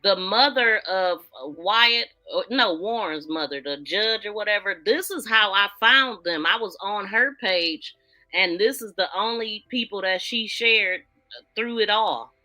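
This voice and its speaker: Casting: American woman, informal livestream host, chatty commentary, bright forward voice, faint music underneath. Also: mid-range voice, measured pace, poor audio. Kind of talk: casual livestream commentary, reacting to played audio.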